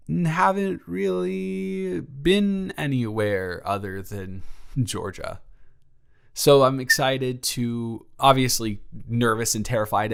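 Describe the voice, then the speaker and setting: A man's voice: lowish voice, vocal fry, clean tone. A young man playing a shy, sweet college freshman in a romantic audio roleplay, stammering and rambling with earnest self-deprecation. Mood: nervous, excited. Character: shy, nervous, sweet young male college freshman from small-town Georgia. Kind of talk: romantic audio roleplay, one-sided conversational acting.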